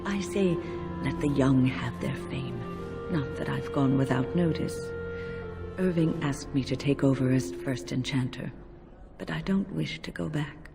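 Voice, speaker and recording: An actress playing a wise, gentle elderly mage in a video game, speaking in a measured, reflective, kindly way with wry touches. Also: female voice, very deep voice, vocal fry, heavily acted. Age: older. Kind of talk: video game character dialogue. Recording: music behind. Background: music.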